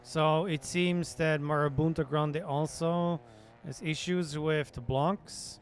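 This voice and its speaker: A man's voice: on the high side, steady tone, slow, a little hiss or hum. A man streaming a roleplay game, chatting casually and rambling in a laid-back way. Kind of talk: casual gaming chat.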